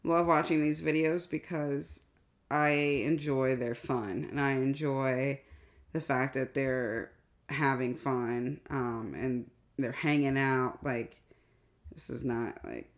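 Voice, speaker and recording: A woman chatting casually as a podcast guest, informal and halting as she searches for words. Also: very deep voice. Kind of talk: casual chat.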